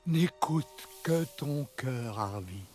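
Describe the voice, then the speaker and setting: An actor playing a grateful, gentle puppeteer in a cartoon, speaking solemnly as if at peace. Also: male voice, medium pitch, lively intonation, slow pace, faint music behind. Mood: gentle farewell. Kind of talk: French cartoon dub acting.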